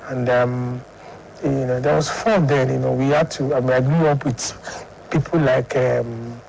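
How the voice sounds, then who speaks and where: Mellow middle-aged Nigerian man, halting. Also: medium pitch, steady tone, creaky, a little hiss or hum, low-quality recording. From Lagos, Nigeria. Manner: halting, repeats himself. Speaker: studio guest, sports psychologist and academic. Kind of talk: conversational interview answers.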